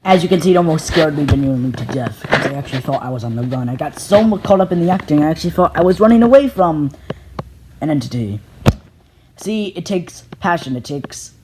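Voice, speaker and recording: A filmmaker narrating a behind-the-scenes vlog in a boastful, halting, repetitive way. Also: mid-range voice, animated, background noise. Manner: boastful, self-congratulatory. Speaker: filmmaker making analog horror videos.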